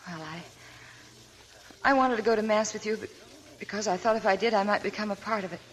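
Actress as a young woman with a hidden past, soft and subdued, 1940s radio drama. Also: mid-range voice, fairly quick, slight background noise, natural voice. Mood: confiding. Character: young woman hiding a sad past, weary. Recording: old radio broadcast with faint music.

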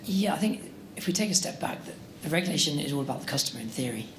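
A man speaking on a conference panel into a handheld mic, quick and loose with some fillers. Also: fairly high pitch, expressive, a little hiss or hum. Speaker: bank programme manager panelist. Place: large conference room with audience. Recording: echoey hall, faint ambience.

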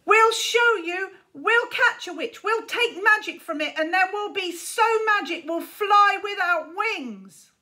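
A woman's voice: very high voice, slow pace, hardly any pauses, pitched above the performer's own voice, exaggerated voice. A woman voicing an angry, defiant young wizard boy in a storybook, spitting out the words with fury. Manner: shouting and spitting with anger.

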